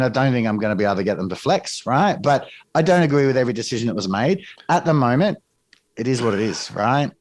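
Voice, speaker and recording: A man with an Australian accent, a guest on an interview, talking briskly and emphatically and often ending points with a tag question. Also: fairly low pitch, darkish tone, dry recording. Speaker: guest, crypto project team member. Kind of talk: casual interview talk.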